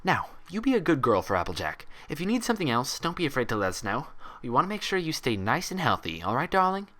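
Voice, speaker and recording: A man's voice: mid-range voice, big pitch swings, fast talker, pushed and bright, voice pushed up. A man voicing a falsely sweet, menacing female pony nurse, saccharine and condescending, in a storybook reading. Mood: sickly sweet.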